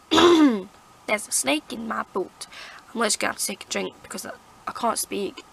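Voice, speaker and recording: A woman with a croaky, hoarse throat, rambling chattily as she reviews her favourite books to camera. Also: a little high, animated, normal speed, fairly bright tone, a little hiss or hum, poor audio. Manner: rambling, chatty, lots of fillers and restarts. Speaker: book vlogger. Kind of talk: casual book review chat. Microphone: camera mic.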